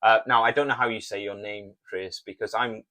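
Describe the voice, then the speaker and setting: A Welsh man co-hosting a casual podcast, talking in a relaxed, plain way and reading out listener messages. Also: lowish voice, a little fast. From Wales. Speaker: podcast co-host.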